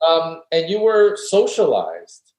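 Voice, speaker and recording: Guy in a roomy echoey space, slightly high voice, talking thru arguments like a professor, earnest. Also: wildly swooping pitch, takes it slowly, darkish tone. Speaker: guest philosophy professor. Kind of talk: conversational interview discussion. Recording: roomy, echoey room sound.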